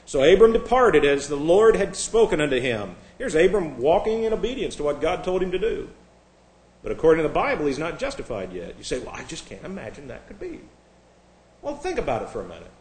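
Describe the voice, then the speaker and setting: A man from Indiana delivers a sermon in an echoey sanctuary, his voice sitting a little high for a man. He talks to the congregation like old friends, wandering into jokes and asides. When he reads scripture, he switches to a raised, formal voice. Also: big pitch swings, brisk, darkish tone. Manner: conversational preaching with asides and self-deprecating jokes. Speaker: pastor. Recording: echoey room.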